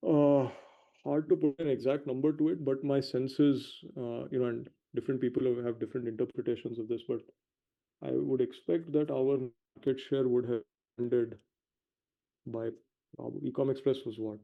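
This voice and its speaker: A male company executive giving measured, explanatory answers on an earnings call, hedging and pausing between short phrases. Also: mid-range voice, steady tone, gravelly. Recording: dull lo-fi conference call audio.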